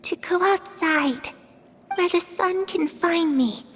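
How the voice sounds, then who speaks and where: A woman voicing a frail, timid young girl who speaks slowly and hesitantly and slips into eerie whispers. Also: very high voice, a bit bright, a little hiss or hum, full character voice. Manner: slow, hesitant, drifting into whispers. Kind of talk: video game dub acting.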